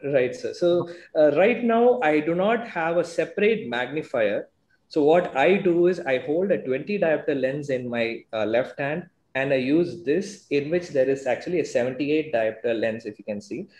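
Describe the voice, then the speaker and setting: A man in his thirties with an Indian English accent, presenting his own work to a conference over a video call in a steady, explaining way with many fillers. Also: a little high, normal speed, reverberant. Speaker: presenting doctor. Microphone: earphone mic on video call.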